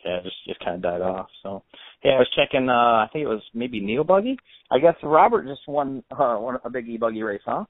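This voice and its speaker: A man's voice: medium pitch, very animated, fairly quick, creaky, dry recording. A man hosting an interview by phone in a casual, slangy, conversational way. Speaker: interview host. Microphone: telephone. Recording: tinny, band-limited phone line.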